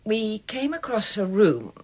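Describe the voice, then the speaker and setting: Earnest assistant, husky and expressive. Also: female voice, mid-range voice, measured pace. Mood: measured, confiding. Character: earnest female wartime gallery assistant giving testimony. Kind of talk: radio drama acting.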